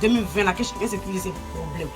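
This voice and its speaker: A woman's voice: mid-range voice, very fast. Woman addressing followers over music. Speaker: social media personality. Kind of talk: casual selfie-style address to followers. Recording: music playing behind voice.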